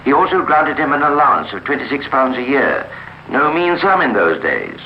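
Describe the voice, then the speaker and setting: A man narrating a 1950s radio drama in a measured, formal storytelling manner. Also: medium pitch, sing-song. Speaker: narrator and host. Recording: muffled, hissy old radio recording.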